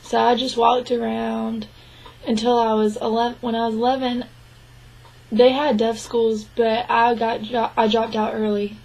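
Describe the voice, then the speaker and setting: A woman gives a spoken interpretation of a signed interview. Her voice sits a little high and stays flat and level. She talks in an even, measured way and often breaks off and restarts. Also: vocal fry, rough recording. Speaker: sign language interpreter voicing a deaf man's answers.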